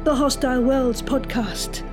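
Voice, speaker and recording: A woman playing a polite, sceptical crewmate and narrator in a scripted audio drama, speaking in a measured way. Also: sits high, fairly even intonation. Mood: grand, dramatic. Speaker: podcast host. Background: music.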